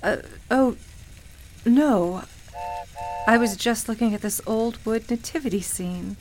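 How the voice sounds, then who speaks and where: A woman's voice: fairly high pitch, slow pace, a little mellow, a little hiss or hum, low-quality recording. An actress in a radio play playing a sincere, wistful woman who reminisces hesitantly about her life. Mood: hesitant, wondering. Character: wistful woman grieving her beloved grandmother at Christmas. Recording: music playing behind the voice. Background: music.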